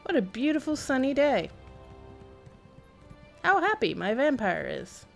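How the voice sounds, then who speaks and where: A woman's voice: high-pitched, sing-song, a little slow, creaky, soft background music. A woman chatting casually and thinking aloud as she livestreams a video game. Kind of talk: casual game stream commentary.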